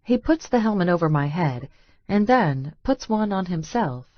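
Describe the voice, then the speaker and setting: A woman narrates a first-person romance story in a lowish voice. The recording is very dry and a little dull, with no room around her. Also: very animated, moderate pace, no echo. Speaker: audiobook narrator.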